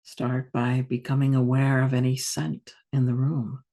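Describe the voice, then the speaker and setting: A woman meditation teacher guiding a yoga nidra practice in a soft, soothing, unhurried manner with long pauses. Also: a bass voice, flat delivery.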